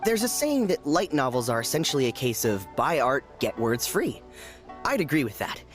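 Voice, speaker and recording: A man voicing an animated, know-it-all novel fan. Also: mid-range voice, moderate pace, faint music behind, lightly acted.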